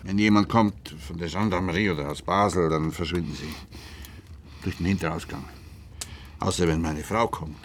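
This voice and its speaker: An actor playing a gruff but calm older retired policeman and grandfather in a radio play, speaking tersely and dryly. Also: low voice, moderate pace, a little hiss or hum, close to an everyday voice. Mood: gruff, caring.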